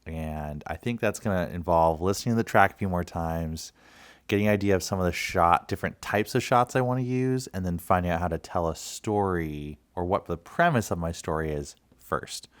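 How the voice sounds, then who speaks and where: A man with a notably low voice hosts a casual creator vlog. He explains things in a conversational, walkthrough manner, as if guiding viewers through his process. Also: moderate pace. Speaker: video creator and channel host.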